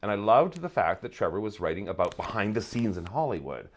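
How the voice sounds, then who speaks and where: A man chats as a podcast guest, spinning anecdotes casually. His pitch swings widely as he talks, and his humour is dry and a little catty. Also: very animated, fairly quick. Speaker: guest, playwright and drag performer. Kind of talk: animated anecdote in casual interview.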